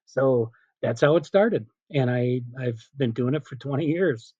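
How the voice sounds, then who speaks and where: A middle-aged man, a PA announcer being interviewed, telling casual anecdotes in an animated way and quoting remembered dialogue. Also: mid-range voice, a little slow, no echo, highs cut off. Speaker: stadium PA announcer, interview guest.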